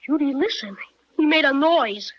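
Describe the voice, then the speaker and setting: A woman voicing an eager, brave young boy, excitable in an old-time way. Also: high voice, normal speed. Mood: excited. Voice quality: excitable, gee-whiz. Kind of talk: 1930s radio acting. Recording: dull old radio recording.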